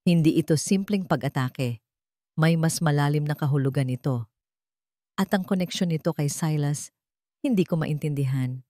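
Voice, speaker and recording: Filipino lady doing dramatic story narration, kinda low voice, very dry sound. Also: moderate pace, pauses often, dark tone, dry recording.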